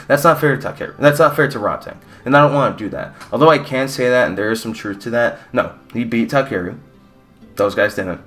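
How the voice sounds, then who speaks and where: A man's voice: fairly low pitch, fairly bright tone. A young man from Mexico giving a blunt, rambling, opinionated fight recap with casual swearing. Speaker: combat sports YouTuber.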